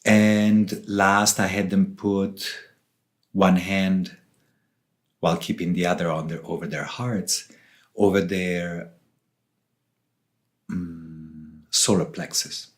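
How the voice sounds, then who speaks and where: A man from southern Switzerland giving reflective interview answers as a guest, speaking deliberately in short phrases with pauses. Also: sits low, little pitch movement. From Switzerland, southern part. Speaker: author and ayahuasca facilitator, interview guest.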